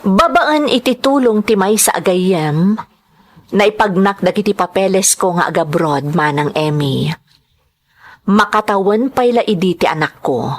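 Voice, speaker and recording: A woman acting a burdened young wife and mother in an Ilocano melodrama, earnest and sorrowful, with an Ilocano accent. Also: medium pitch. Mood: somber narrating. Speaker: drama lead and narrator.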